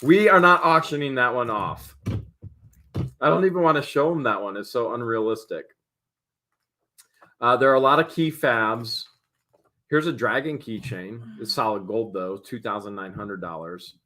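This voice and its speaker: A man hosting a live online auction stream, calling out bids excitedly and chatting with viewers. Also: medium pitch. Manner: excited, rapid bid calling. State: often loses voice after streams. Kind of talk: fast auctioneer-style live stream chat.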